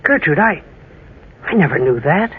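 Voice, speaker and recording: An actor playing an earnest, hesitant, concerned gentleman in a 1940s radio drama, recounting his past. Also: fairly high pitch, very animated, normal speed, husky, exaggerated voice. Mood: flustered. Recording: tinny old radio recording.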